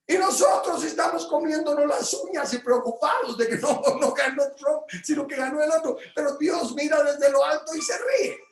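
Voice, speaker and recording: A man teaching his home group about praise in a casual but animated way, jumping into loud exclamations and stumbling over fillers. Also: very high-pitched, reverberant, slight background noise. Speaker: Bible teacher.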